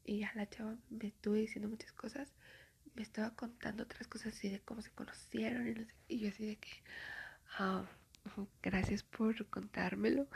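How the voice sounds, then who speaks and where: A young woman, whispering a chatty, gossipy ASMR storytime full of fillers. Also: medium pitch. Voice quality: whispering, breathy.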